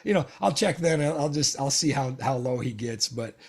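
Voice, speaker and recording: A man with a hoarse, gravelly voice, chatting casually as a guest about live sound mixing, with plenty of fillers. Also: mid-range voice, lively intonation, normal speed. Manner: hedging, lots of fillers. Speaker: audio engineer guest. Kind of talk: casual chat.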